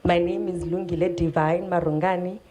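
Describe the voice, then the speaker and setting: A woman's voice: fairly low pitch, fairly even intonation, fairly quick, dark tone. A woman with a South African accent introducing herself on stage as an entrepreneur at an event. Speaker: programme beneficiary, entrepreneur. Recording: noisy event microphone with clicks.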